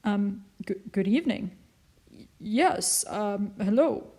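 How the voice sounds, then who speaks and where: A woman's voice: mid-range voice, slow, a little mellow. A woman narrator voices a mild-mannered dad in a children's story. He is awkward and bewildered, hesitant as he speaks. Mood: confused. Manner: hesitant, stammering. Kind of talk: audiobook character voice.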